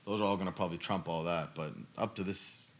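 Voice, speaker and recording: Man, thirties, low-pitched, casual podcast talk, emphatic, repetitive phrasing. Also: fairly even intonation, fast, slight background noise. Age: 30s. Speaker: podcast host answering questions.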